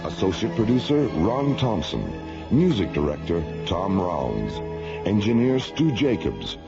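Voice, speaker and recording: A man's voice: sits low, very animated, measured pace, vocal fry. A man announcing scripted credits as a radio show announcer. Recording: dull lo-fi, loud music behind.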